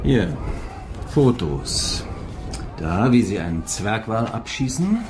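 An actor playing a terse, probing police investigator in a radio play. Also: male voice, fairly low pitch, animated, takes it slowly, noisy background. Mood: confronting. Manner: terse, confronting. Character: probing male police investigator, dry and persistent.

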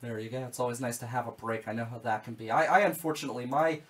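A man's voice: mid-range voice, fairly even intonation, rapid-fire, a bit bright. Rambling young man hosting radio. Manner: rambling, repeats words when starting sentences. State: slightly strained voice after nearly losing it. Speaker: radio show host. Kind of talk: casual radio chat. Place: small wood-paneled radio studio. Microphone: studio mic on boom arm, with headphones.

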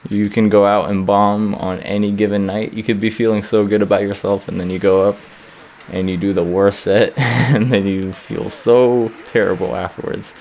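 A young man from Iraq talks in a studio interview about his stand-up work. His voice sits fairly low, and his tone is thoughtful and unhurried. He chuckles now and then as he speaks. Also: flat delivery, darkish tone, a little hiss or hum. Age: young adult. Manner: reflective, laughs as he talks. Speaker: stand-up comedian. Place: studio against a plain grey backdrop.

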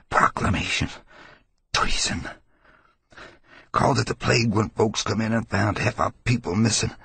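A man voicing a hysterical, frightened old New Englander with a rasping voice and rambling rural dialect, in an audiobook horror story. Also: fairly low pitch, a bit bright, no room sound, pitched above the performer's own voice, heavily acted. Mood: terrified. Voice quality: gravelly, husky old man's rasp. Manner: rambling folksy dialect, cackling and fearful. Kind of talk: dramatized audiobook character voice.

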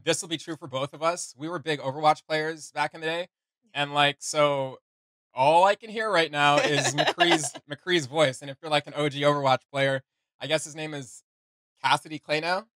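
A young man chatting casually as a reaction video host, excitable and rambling in his delivery. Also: a little high, normal speed, dry recording. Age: young adult. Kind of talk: casual chat, reacting to game voice lines. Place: small room at home, indoors.

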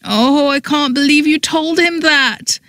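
A wry, self-deprecating heroine acted by a woman narrator in an audiobook. She exclaims emotively and is quick to exasperation, lifted in pitch, with a dry, close sound. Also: darkish tone, dry recording, lightly acted. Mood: mortified.

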